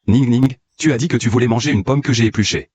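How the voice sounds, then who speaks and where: A man's voice: medium pitch, fast, a little mellow, husky, no room sound, lightly acted. Male voice actor: cold CEO, commanding and curt, dry booth. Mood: longing. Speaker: cold company boss. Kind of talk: dubbed drama acting.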